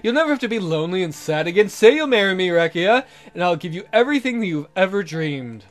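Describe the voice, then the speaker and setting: Man acting pushy, high-pitched jealous suitor. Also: very animated, fast. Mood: pleading. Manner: insistent, pleading.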